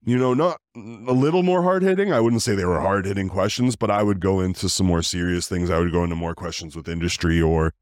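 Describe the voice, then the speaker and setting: Deep-voiced man in relaxed podcast chat. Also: fairly quick, few pauses, no echo, clean studio sound. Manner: rambling, with many fillers and restarts. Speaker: podcast host. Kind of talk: casual interview chat.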